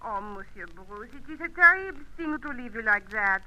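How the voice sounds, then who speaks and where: High, piercing French femme fatale. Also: female voice, expressive, normal speed, pushed and bright. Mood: flirtatious. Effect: telephone voice. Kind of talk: vintage radio adventure serial acting. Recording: thin lo-fi telephone-line sound.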